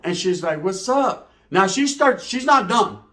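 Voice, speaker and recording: A man's voice: high voice, sing-song, moderate pace, sharp tone, echoey room. A man telling a raunchy comic story casually, full of slang and swearing and acting out the dialogue. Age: adult, parent. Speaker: comedic storyteller.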